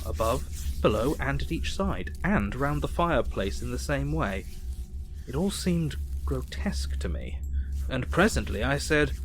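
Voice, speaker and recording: Male actor as earnest Victorian doctor, mid-pitched voice, measured, formal diary narration, soft music behind. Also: natural voice, rough recording. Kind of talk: audio drama narration.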